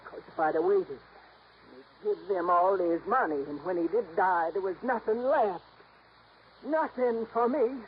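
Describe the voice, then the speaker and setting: A woman's voice: medium pitch, a little slow, lightly acted. A woman playing a bitter, defensive older housekeeper in a 1950s radio drama, with folksy, agitated speech. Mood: bitter, desperate. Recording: dull, noisy old radio recording.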